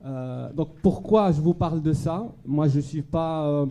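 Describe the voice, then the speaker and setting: Man from Morocco, public charity speech, hesitant with many fillers, warm dark timbre. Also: on the high side, a little slow. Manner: hesitant, many fillers and restarts, audience questions. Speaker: charity speaker at a fundraising gala. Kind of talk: public speech to a live audience. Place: banquet hall at a gala dinner.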